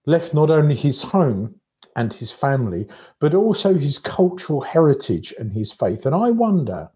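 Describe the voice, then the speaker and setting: A man giving a reflective sermon in a measured, contemplative way, breaking his thoughts into short phrases. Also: mid-range voice, warm tone. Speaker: preacher.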